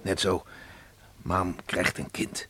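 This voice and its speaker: An older man acting in a radio drama as a proud, worried farmer and father, speaking in a halting, folksy way. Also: low voice, steady tone, heavy background noise. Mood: troubled, hesitant. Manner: halting, folksy, addresses son in third person.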